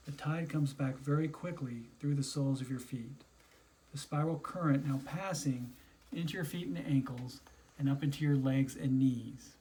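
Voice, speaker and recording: Mellow-voiced man guiding a meditation. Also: medium pitch, low-quality recording. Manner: slow, soothing, instructional delivery with pauses between phrases. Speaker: guided imagery narrator. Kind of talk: guided meditation, scripted narration.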